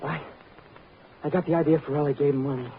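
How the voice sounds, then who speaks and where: An actor playing a frightened, exhausted young fugitive in old-time radio drama, speaking in a halting, pleading way. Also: male voice, on the high side, steady tone, natural voice. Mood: weary, defensive. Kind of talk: 1940s-50s radio drama acting. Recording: noisy, tinny old-time radio recording.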